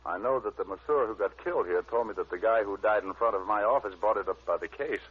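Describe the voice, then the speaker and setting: A man's voice: lowish voice, fast talker, breathy edge. An actor playing a sardonic, wisecracking male private detective who also narrates in 1950s radio drama style. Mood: wry banter. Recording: narrow, tinny old-time radio recording.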